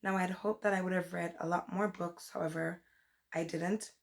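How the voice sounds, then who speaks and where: Hoarse young woman with a cold. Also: fairly low pitch, fairly even intonation, normal speed. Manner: chatty, rambling, says "like" and "um" often. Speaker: book vlogger. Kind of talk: casual book review vlog.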